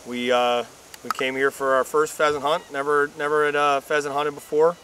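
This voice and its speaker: Young man talking haltingly outdoors. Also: on the high side, flat delivery, sharp tone, clean tone. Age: young adult. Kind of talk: casual outdoor interview. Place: open field outdoors. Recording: faint outdoor background noise.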